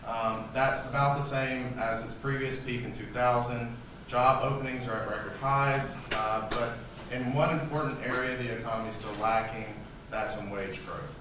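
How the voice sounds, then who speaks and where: Quiet guy moderating a debate, far from the mic, big echoey room w/ background noise. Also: medium pitch, steady tone, moderate pace. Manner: soft-spoken, hesitant with fillers. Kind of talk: explaining rules and reading questions. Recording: echoey hall, from far off, noisy.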